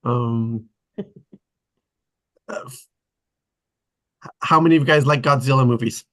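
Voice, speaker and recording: A man chatting casually in a group, rambling and halting, with a husky, slightly gravelly voice. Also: medium pitch, a bit bright.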